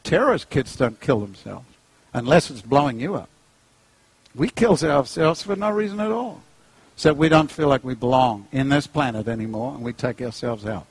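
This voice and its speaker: A middle-aged man with a New Zealand accent preaching an animated, humorous sermon, jumping into playful voices. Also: moderate pace, slightly rough, dry recording, poor audio. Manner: jokey, acts out characters, pitch leaps high.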